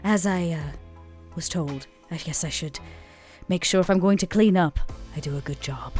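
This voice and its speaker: A woman's voice: on the low side, moderate pace, a little mellow, soft background music, close to an everyday voice. Woman playing a mock-polite, dangerous vampire nurse. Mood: mock politeness. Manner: mock-polite, feigned innocence. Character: vampire woman posing as nurse, falsely meek, coolly dangerous.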